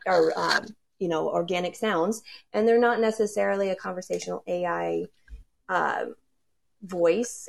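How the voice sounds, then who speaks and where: A woman's voice: on the low side, creaky. A woman who works as a sonic branding consultant, talking casually and eagerly on a video call panel, with many fillers and little laughs. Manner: enthusiastic, rambling with fillers and laughs.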